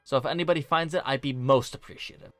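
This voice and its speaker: Smooth-voiced man narrating a video essay. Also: medium pitch, fast, fairly bright tone, no echo, soft background music. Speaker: video essay host, film reviewer. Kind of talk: scripted video essay narration with casual asides.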